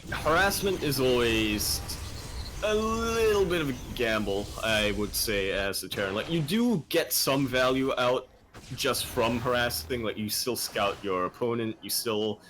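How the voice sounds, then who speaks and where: A man's voice: mid-range voice, lively intonation, measured pace, a bit bright, a little hiss or hum. A man casting a live video game match, chatty and playful, often trailing off and picking his thoughts back up. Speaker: esports caster.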